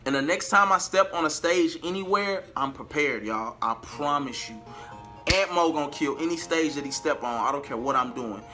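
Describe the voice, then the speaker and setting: A man's voice: on the high side, animated, moderate pace, bright, forceful tone, faint music behind. A man telling a personal story to his viewers in a casual, emphatic way, repeating phrases for emphasis. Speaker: vlogger and R&B singer.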